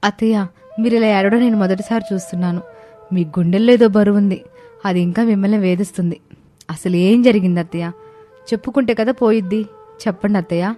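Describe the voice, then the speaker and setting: A woman voicing a lively, teasing young daughter-in-law in an animated moral story, with an expressive, coaxing delivery. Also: medium pitch, fast talker, soft background music, natural voice. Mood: gentle concern.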